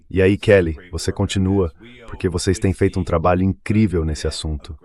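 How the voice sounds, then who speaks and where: A man's voice: low-pitched, darkish tone, natural voice. A man voicing a translated panel guest, giving conversational political commentary as a dubbed voice-over.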